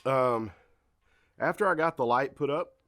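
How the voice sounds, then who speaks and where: A congested man with a drawl talks casually to his viewers, folksy and chatty. His voice sits at an ordinary pitch and is recorded dry and close. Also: measured pace, fairly bright tone, no room sound. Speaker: farm vlogger. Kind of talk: casual vlog talk to camera.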